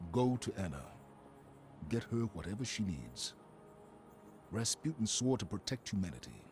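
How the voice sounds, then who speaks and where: An actor playing a solemn, dutiful military commander in a video game, speaking in measured, terse phrases. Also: male voice, low-pitched, darkish tone, slight background noise. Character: grave, dutiful male military commander, wary and resolute. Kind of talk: cinematic video game acting.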